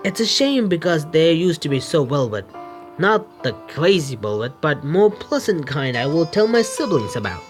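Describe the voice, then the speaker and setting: Male let's-player, slightly high pitch, stumbles through dramatic on-screen text over background music. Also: sing-song, moderate pace. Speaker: video game streamer. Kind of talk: reading visual novel text aloud with casual commentary. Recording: music under the voice.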